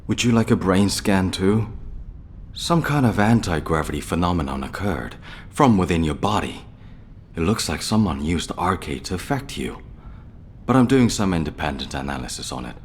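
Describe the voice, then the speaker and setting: A brusque male doctor, low in pitch with lively, varied intonation. He is blunt, clinical and concerned, in dramatic video game style with a faint rumble behind. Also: moderate pace. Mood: clinical, dry.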